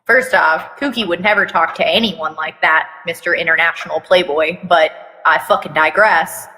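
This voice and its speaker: A woman's voice: fairly low pitch, moderate pace, sharp tone. A woman giving a mock-dramatic reading of fan fiction, breaking off for blunt, sweary, casual asides. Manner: theatrical reading broken by blunt, sweary commentary. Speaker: fan fiction reader and commentator. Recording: echoey reverberant room.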